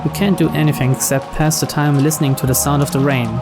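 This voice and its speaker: A man's voice: a little high, flat delivery, fast talker. A man reading a visual novel aloud as scripted story narration. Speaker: video game reader. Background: game background music.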